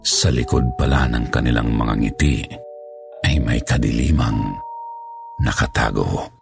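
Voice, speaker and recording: Male horror narrator, low and gravelly, reading scripted first-person tales. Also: unhurried. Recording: music bed under voice. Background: background music.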